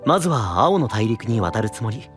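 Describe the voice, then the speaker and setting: A man playing a caring, earnest young knight and protective friend, gently reassuring, in a fantasy audio drama. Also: mid-range voice, big pitch swings, moderate pace, faint music behind, lightly acted. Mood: earnest, encouraging.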